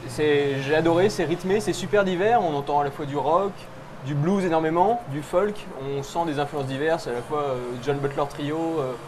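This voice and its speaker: A man giving lively music commentary on a live talk show, upbeat and quick to laugh. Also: on the high side, background noise. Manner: enthusiastic, laughs easily. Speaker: music columnist. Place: live event on a boat.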